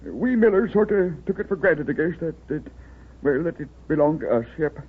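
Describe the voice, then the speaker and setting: An actor playing a worried, humble small-town boathouse owner in a 1930s radio drama, with a rural American accent and a hesitant, stammering, folksy manner. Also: male voice, on the high side, animated, normal speed, slightly rough. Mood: hesitant, uneasy. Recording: muffled, tinny 1930s radio recording.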